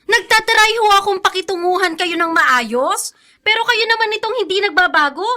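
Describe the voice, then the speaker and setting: An actress playing a young, sharp-tongued, resentful Filipina daughter in a radio drama. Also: female voice, very high-pitched, lively intonation, fairly quick, heavily acted. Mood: resentful, defiant.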